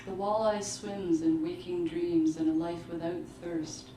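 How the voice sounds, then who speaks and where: Slow, low-voiced woman reading in a noisy room. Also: steady tone, mellow. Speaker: author reading at a book launch. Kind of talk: literary reading of short fiction. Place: live book launch in an indoor venue. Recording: roomy, distant mic, clearly noisy live venue.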